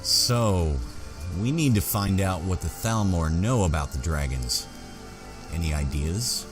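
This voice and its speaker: A man role-playing his character while playing a fantasy video game, musing and halting as he talks. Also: sits low, expressive. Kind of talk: slow in-character game roleplay commentary. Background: game music and ambience.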